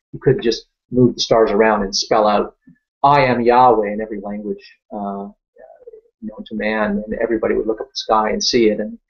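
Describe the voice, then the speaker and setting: Gravelly French Canadian man, lo-fi stream. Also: lowish voice. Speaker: Q&A host. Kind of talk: live Q&A answering viewer questions.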